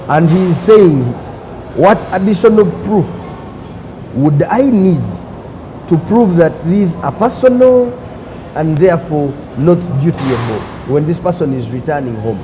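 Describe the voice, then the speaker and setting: A man's voice: a little high, dark tone, slight background noise, low-quality recording. A man hosting a TV talk show, reading out a viewer's question and giving short agreements. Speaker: TV show host.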